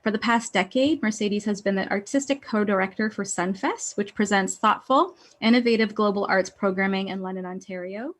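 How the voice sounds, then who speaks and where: A woman's voice: mid-range voice, a little fast, few pauses. A woman hosting an online event over a video call, introducing a speaker in a friendly, somewhat flustered way. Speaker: event host.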